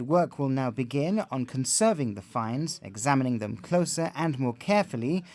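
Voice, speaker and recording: A man's voice: a little high, no echo. A man narrating a scripted television news report as the reporter.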